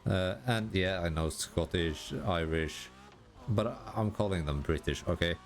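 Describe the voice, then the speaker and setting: A Swedish man, a gaming YouTuber, talking casually in halting, self-correcting sentences and laughing at himself over his English pronunciation. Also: sits low. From Sweden. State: tired. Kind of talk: casual commentary while gaming.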